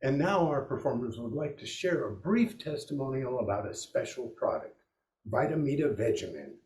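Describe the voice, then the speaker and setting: A man's voice: medium pitch, expressive, normal speed, darkish tone, close to an everyday voice. A man working as a show announcer in an old-time radio style. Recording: echoey stage, distant from mic.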